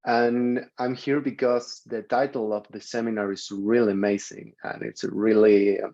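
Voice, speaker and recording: A man introduces himself with eager enthusiasm, sometimes groping for the right English word. His voice is low and dark. The call audio sounds dull and lo-fi. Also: flat delivery, moderate pace. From Chile. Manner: enthusiastic, searching for words. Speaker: seminar participant, writer.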